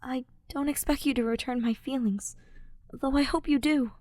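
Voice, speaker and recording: Woman voicing an earnest, higher-pitched young knight. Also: steady tone, moderate pace, no room sound, clean studio sound. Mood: soft, hopeful. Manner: soft, heartfelt, hesitant. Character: earnest, tender young male knight confessing love. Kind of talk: fan fiction audiobook character acting.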